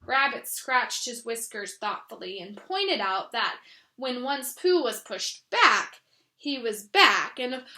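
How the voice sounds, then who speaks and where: A woman reading a children's story aloud in an expressive way, with chatty asides to her listeners. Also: high voice. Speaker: read-aloud host. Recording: roomy echo.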